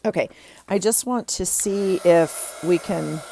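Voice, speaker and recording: A woman's voice: fairly low pitch, slow, gravelly, warm tone, a little hiss or hum, rough recording. A middle-aged woman with a stuffy nose, chatting casually as she works on a craft project, thinking aloud with many ums and restarts. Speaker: craft vlogger.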